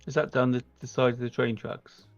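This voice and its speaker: A man's voice: medium pitch, flat delivery, a little fast, creaky, muffled recording, soft background music. A man playing a tabletop roleplaying game, talking casually and improvising his side of an in-game conversation.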